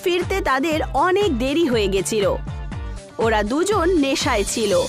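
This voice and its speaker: A woman narrates a scripted horror tale in a high-pitched voice. Her delivery swings widely up and down, with music playing behind her. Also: very animated, measured pace.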